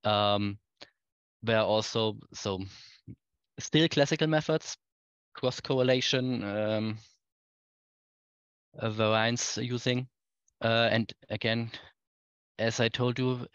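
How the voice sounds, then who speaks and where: A man giving a technical keynote presentation over a video call, explaining things in halting, hesitant phrases. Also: fairly low pitch, fairly bright tone, dry recording. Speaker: conference speaker. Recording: dull, muffled lo-fi online-call audio.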